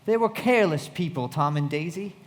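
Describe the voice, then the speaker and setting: A man plays an earnest, disillusioned young narrator in a radio drama. His fairly high voice moves animatedly up and down and rings out in a reverberant hall. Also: animated, a little fast, natural voice. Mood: bitter.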